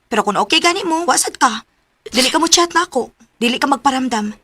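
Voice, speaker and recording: A woman's voice: sits high, lively intonation, quick pace, pushed and bright, slightly rough, no echo, heavily acted. A woman playing a blunt, exasperated best friend in a radio drama, scolding emphatically with a Cebuano Filipino accent. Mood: hurt, reproachful. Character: blunt, exasperated young best friend who feels taken for granted.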